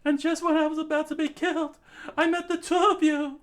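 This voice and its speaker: A woman voicing an anxious, excitable teenage boy who rambles and stumbles over his words. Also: high-pitched, a little fast, close to an everyday voice. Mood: earnest. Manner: rambling, excitable, breaks into laughs.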